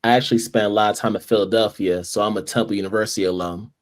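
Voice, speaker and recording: Male podcast host, low voice, upbeat and welcoming, conversational. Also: steady tone, very fast. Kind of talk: conversational interview.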